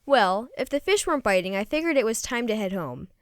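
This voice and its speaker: A woman reading a children's story aloud in an expressive, folksy first-person storytelling manner. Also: a little high, a little fast, clean tone, no room sound, studio quality. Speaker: storybook reader.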